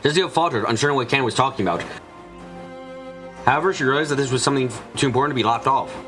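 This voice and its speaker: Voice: medium pitch, fast, a bit bright. A fan dub narrator reading scripted story narration. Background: music.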